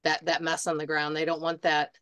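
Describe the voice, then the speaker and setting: A woman's voice: low-pitched, monotone, fairly quick, a bit bright. A middle-aged woman who runs a bird supply store, talking on a video call in a chatty, practical way with many fillers. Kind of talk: casual panel chat. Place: home room.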